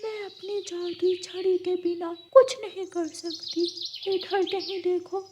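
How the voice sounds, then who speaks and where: Casting: actress playing a magical witch, extremely high voice, insistent bargainer, cartoon, some background noise. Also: steady tone, slow pace, darkish tone. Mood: pleading. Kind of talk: Hindi cartoon story dialogue.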